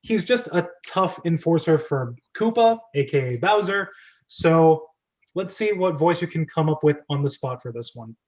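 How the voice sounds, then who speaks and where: Male host for a casual table read: highish, lively voice, playful asides and self-corrections, lo-fi audio. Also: moderate pace. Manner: playful, self-interrupting, chatty. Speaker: host and narrator of script reading club. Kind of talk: casual amateur script reading with commentary.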